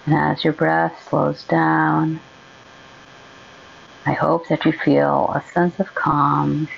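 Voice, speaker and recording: Slow, low-voiced woman guiding meditation. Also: flat delivery, vocal fry, muffled recording, a little hiss or hum. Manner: gentle, unhurried, with long pauses. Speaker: meditation guide. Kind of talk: guided meditation, soothing instruction.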